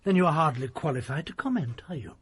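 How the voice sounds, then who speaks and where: An actor playing a bitter, condescending country doctor who talks in a cold, dismissive way. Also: male voice, on the high side, animated, quick pace, breathy edge. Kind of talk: period radio drama acting.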